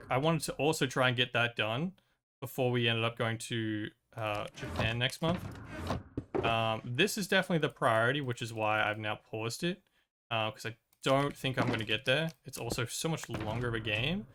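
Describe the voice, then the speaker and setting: A man's voice: mid-range voice, normal speed, bright, forceful tone, slight background noise. A young man streaming a video game, chatting casually and rambling with lots of fillers and restarts, his voice a little worn from a recent cold. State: recovering from a cold and lost voice. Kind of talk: casual chat while playing.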